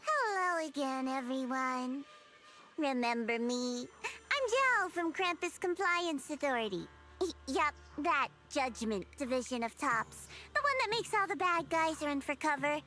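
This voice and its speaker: A woman's voice: high-pitched, big pitch swings, a little slow, bright, forceful tone, faint music behind, exaggerated voice. A woman voicing a teasing, self-assured young female agent in a video game, with a playful, smug, coaxing delivery. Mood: breezy, playful.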